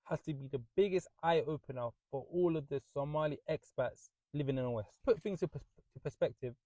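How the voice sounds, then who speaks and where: A man with a London British accent speaks in an opinionated monologue. His voice sits a little high and is recorded very dry. He is earnest and repeats phrases for emphasis. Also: fairly quick, mellow, no echo, rough recording.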